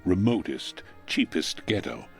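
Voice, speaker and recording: A man's voice: sits low, sing-song, a little slow, creaky. A man reading a literary memoir aloud as scripted narration. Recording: music under the voice.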